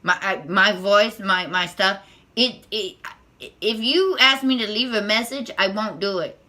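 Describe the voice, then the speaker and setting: A woman with a squeaky, bright, sharp-edged voice gives an unscripted spiritual talk to camera. She talks nervously and haltingly, often restarting and repeating words. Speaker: spiritual tarot vlogger.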